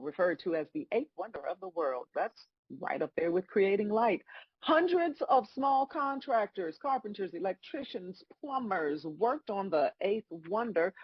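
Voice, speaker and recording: Husky, sardonic God played by a woman, swooping delivery. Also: mid-range voice, dry recording, muffled recording. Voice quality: husky, raspy. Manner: dry, scolding, sarcastic. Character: God as a stern, sardonic female celestial judge. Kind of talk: comedic script table read.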